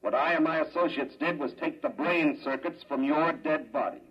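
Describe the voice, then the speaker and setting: A man playing a calm, smug scientist mastermind in 1950s radio drama, speaking with urbane, matter-of-fact condescension. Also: a little high, moderate pace, husky. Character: calm, smug male mastermind scientist running a cruel experiment. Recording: muffled vintage radio recording.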